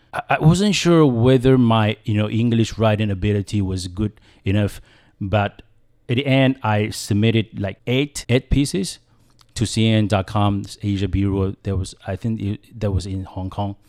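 A man in his thirties from Taiwan with a Taiwanese accent, a native Chinese speaker, talking haltingly as a guest in a radio studio interview. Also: on the low side. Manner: halting, with restarts and fillers. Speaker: guest filmmaker. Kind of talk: casual interview.

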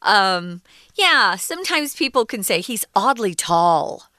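A woman co-hosting an English lesson podcast, explaining words patiently in a relaxed conversational way with fillers. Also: mid-range voice, slow, dry recording, clean studio sound. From United States. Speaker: co-host teaching English.

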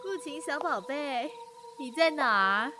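A sadistic lady crime boss in an audio drama. Her highish voice swoops widely and lingers on words at a slow, taunting pace, sweetly mocking and possessive, with music underneath. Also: animated. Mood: gloating, teasing. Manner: taunting, drawn-out, gloating with cackles. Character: sadistic, obsessive woman gang boss, mockingly sweet predator.

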